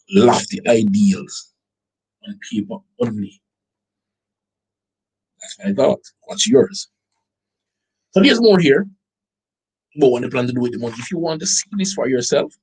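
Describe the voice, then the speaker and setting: Jamaican guy, kinda husky voice, reading stuff out and going "this is crazy right?" to viewers. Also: medium pitch, poor audio. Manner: animated, incredulous, rhetorical questions to viewers. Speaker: online show host. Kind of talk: commentary reading out a document.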